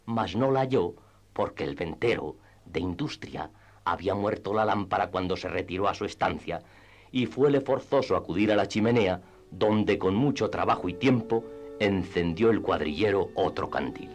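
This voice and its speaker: A man's voice: low voice, expressive, normal speed. A man narrating a literary radio drama in a scripted storytelling style.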